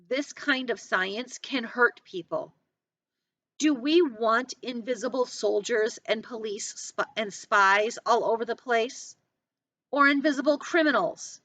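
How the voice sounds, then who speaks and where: An earnest, protective father from a novel, as a woman reads him. He reasons gravely and persuasively at a measured pace, in a bright voice. The recording is slightly dull and lo-fi. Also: a little high. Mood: grave, concerned. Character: sad, earnest, protective middle-aged father, reasoning firmly. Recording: dull, lo-fi sound.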